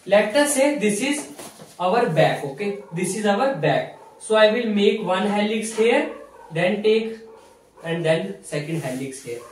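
Young guy teaching a class, repeats himself, says okay a lot, really echoey room, mic kinda far off. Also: high voice. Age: young adult. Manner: repeats key phrases for emphasis, frequent "okay". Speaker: physiotherapy teacher. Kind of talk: classroom-style lecture at a whiteboard. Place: small bare indoor room with whiteboard. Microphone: camera mic a few steps away. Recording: very echoey room, distant microphone.

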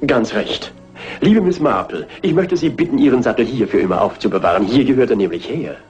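An actor playing a gallant, earnest horse-loving gentleman suitor in a German radio crime drama, husky, courtly and sentimental. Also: medium pitch, lively intonation, a little fast, dull audio, soft background music, lightly acted. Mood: earnest, courting.

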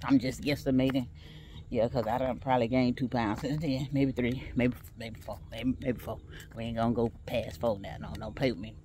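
A woman's voice: very deep voice, steady tone, moderate pace, dark tone, a little hiss or hum. A woman chatting casually to her viewers while she walks on an outdoor track, laughing often and talking straight to them. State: walking outdoors, a bit tired. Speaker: vlogger.

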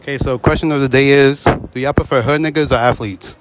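A man's voice: mid-range voice, steady tone, quick pace, noisy background. A young man in his late teens hosting a casual street interview in a shopping mall on a handheld mic, talking in laid-back slang and repeating short affirmations.

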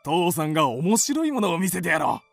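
Animated, high-pitched family father. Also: a little fast, gravelly, soft background music, full character voice. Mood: playful. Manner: animated, theatrical. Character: excitable, playful father of a household. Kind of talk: radio drama acting.

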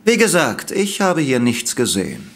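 An actor playing a helpful, matter-of-fact police officer in a German audio drama. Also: male voice, fairly high pitch, sing-song, moderate pace. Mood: obliging, curious. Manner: helpful, factual, discreet.

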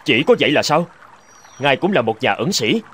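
A man's voice: mid-range voice, very animated, fairly quick, fairly bright tone, slight background noise. An actor playing a self-righteous, argumentative young male scholar in a cartoon. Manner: argumentative, boastful, exclamatory. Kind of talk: Vietnamese cartoon dubbing.

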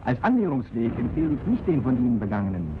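A man plays a calm frontline company commander who reports the situation matter-of-factly, his mid-pitched voice moving lively up and down. A dull 1940s film soundtrack carries a clearly audible hiss. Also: very fast, close to an everyday voice. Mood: reporting. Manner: matter-of-fact tactical report.

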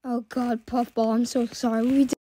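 A woman's voice: a little high, flat delivery, normal speed, lightly acted. A woman voicing blunt, foul-mouthed cartoon objects in an amateur comic dub. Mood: awkward. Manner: blunt, sweary.

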